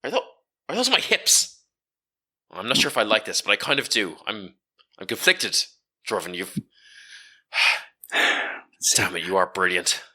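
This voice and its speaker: An exasperated, street-smart woman hustler acted out by a man in a tabletop game. The voice swings widely up and down with a bright, forceful edge. She stammers and haggles as she argues her case. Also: fairly low pitch, big pitch swings, measured pace, pauses often, pitched below the performer's own voice, exaggerated voice. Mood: grudging. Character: Rowena, exasperated street-smart female hustler of stolen goods. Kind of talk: improvised tabletop role-play.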